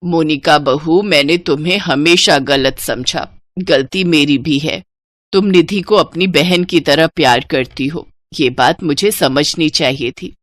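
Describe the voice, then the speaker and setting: Woman as a low-voiced, regretful mother-in-law. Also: measured pace, dry recording.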